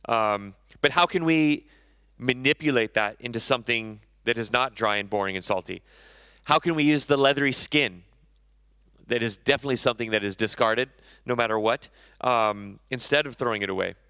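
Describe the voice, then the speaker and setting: A man's voice: medium pitch, moderate pace, bright, forceful tone, clear, resonant tone, no echo, clean studio sound. A man in his thirties, a chef giving a conversational conference talk on stage, often correcting himself mid-sentence. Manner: conversational, self-correcting, enthusiastic about food.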